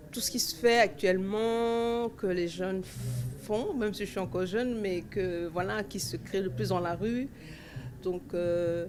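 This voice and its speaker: A woman in her forties from Cameroon, answering interview questions at length with many fillers and restarts. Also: mid-range voice. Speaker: dancer and festival organizer. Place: outdoor festival grounds. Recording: festival ambience noise behind.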